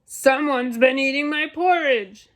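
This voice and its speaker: A woman voicing a huge, indignant Papa Bear in a storybook, loud and emphatic. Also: high voice, measured pace, voice pushed up.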